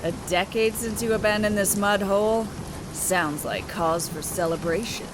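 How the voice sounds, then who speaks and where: An actress playing a warrior sister who talks in a blunt, combative way. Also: female voice, medium pitch, normal speed, noisy background, close to an everyday voice. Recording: rain ambience behind the voice.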